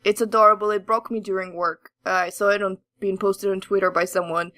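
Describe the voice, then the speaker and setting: A woman's voice: medium pitch, steady tone, a little fast, a bit bright, dry recording. A woman co-hosting a podcast in casual chat, with a playful, teasing manner. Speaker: podcast co-host.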